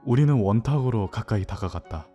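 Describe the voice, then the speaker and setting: Man playing a mellow, introspective newcomer. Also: lowish voice, expressive, a little fast, lo-fi sound, soft background music, natural voice. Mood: reflective. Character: hesitant, introspective newcomer narrating a library visit. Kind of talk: audio drama narration and dialogue.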